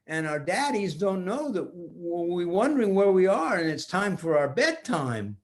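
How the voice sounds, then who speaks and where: A man's voice: high-pitched, big pitch swings, normal speed. An older man voicing a polite, earnest little child in a storybook tale, speaking apologetically. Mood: apologetic.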